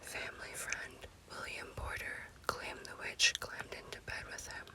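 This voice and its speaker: A woman narrates a scary story as ASMR in an unvoiced, breathy whisper, in deliberate short phrases. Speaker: storyteller, host.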